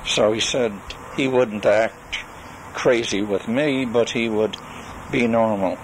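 An older man recording a spoken memoir on cassette, reminiscing in a deliberate, halting way with long pauses. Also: fairly low pitch. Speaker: storyteller recalling his life and legal practice. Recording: noisy 1980s home cassette recording.

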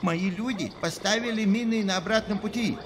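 Очень высокий, срывающийся голос перепуганного пособника, которого допрашивают, в исполнении актёра. Он запинается, увиливает и нервно оправдывается. Запись игровая, за голосом отчётливо слышен шумный фон. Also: male voice, sits high, moderate pace, close to an everyday voice. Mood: scared, evasive. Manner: stammering, nervous, evasive. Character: frightened male henchman under interrogation. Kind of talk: Russian video game dub. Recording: game audio with noisy backdrop.

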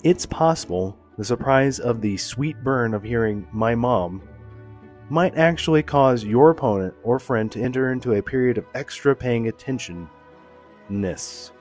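Deadpan man with a head cold. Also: mid-range voice, normal speed, soft background music. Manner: mock-serious, deadpan. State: voice deepened by a cold. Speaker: narrator of his own theory video. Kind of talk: deadpan mock-research narration.

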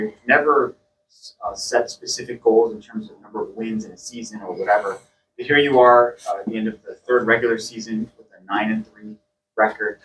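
A male reporter puts a question at a press conference. His voice is fairly low and comes from away from the mic, with a faint hum in the room. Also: a bit bright, far from the mic, poor audio. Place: indoor press room, off camera.

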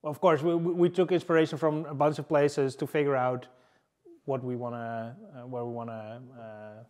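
A man in his thirties giving a casual tech talk to a seated audience in a large room, halting often with fillers and restarts. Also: mid-range voice, flat delivery, darkish tone. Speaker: engineering manager presenting.

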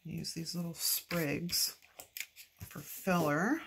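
Stuffy-nosed woman crafter, low gravelly voice, chatty self-talk, noticeable background noise. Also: takes it slowly, fairly bright tone. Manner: chatty, talks to herself while working. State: stuffy nose from allergies. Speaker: planner decorating vlogger. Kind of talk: casual chatty crafting narration. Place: at a craft table, filmed from overhead.

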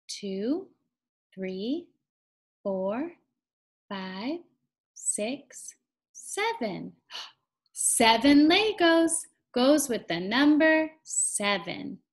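A young woman reading aloud to small children in an encouraging, sing-song teacher's manner, guiding them along deliberately. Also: on the high side. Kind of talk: interactive children's read-aloud lesson.

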